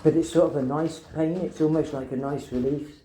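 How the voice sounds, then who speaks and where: Woman, very deep pitch, very mellow dark tone, halting repetitive speech, patient's casual account. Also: steady tone, roomy sound, slight background noise, rough recording. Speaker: patient at a doctor's appointment. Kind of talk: casual conversation, recounting symptoms.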